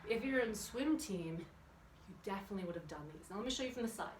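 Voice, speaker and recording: A woman's voice: medium pitch, lively intonation, fast. A woman leading a children's workout, chatty and encouraging, putting questions to her young listeners as she instructs. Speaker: kids' exercise and yoga instructor. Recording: echoey room, distant mic, background noise.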